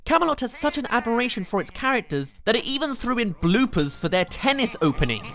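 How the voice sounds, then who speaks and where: A man's voice: extremely high pitch, very animated, quick pace. A man narrating an enthusiastic scripted video game countdown in a gushing, informal way, as a reviewer. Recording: loud music behind the voice. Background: game soundtrack music.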